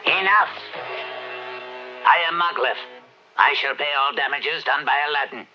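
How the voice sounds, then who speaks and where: A man's voice: fairly high pitch, unhurried, natural voice. A man voicing an imperious, scheming evil sorcerer in a 1970s cartoon dub, with grand, menacing delivery. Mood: commanding. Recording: dull lo-fi old TV soundtrack, music behind.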